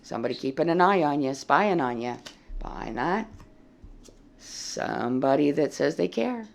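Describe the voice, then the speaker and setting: A tarot reader talks through a spread in a casual, blunt way and swears now and then. The voice sits at a middling pitch and is dry and froggy, with a heavy gravelly fry. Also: expressive, normal speed. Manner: blunt and plain-spoken, swears freely. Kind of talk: casual tarot reading to camera.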